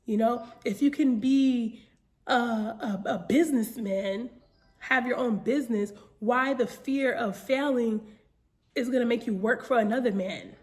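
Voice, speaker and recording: A woman's voice: on the high side, measured pace, darkish tone, faint music behind. A young adult woman giving an informal, earnest motivational talk, addressing listeners directly with repeated phrases and rhetorical questions. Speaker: motivational speaker.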